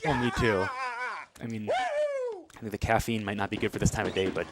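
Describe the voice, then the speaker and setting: A man giving live gameplay commentary as a speedrunner, hesitant and rambling with dry jokes, with loud game music behind him. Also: fairly low pitch, wildly swooping pitch, normal speed, background noise. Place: livestreamed charity gaming marathon.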